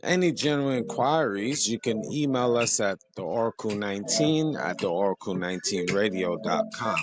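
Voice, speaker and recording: A man hosting his podcast with a slightly hoarse voice, talking casually and repeating contact details in an easygoing, rambling way. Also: lowish voice, animated, moderate pace. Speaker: podcast host. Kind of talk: casual podcast talk with sponsor plugs.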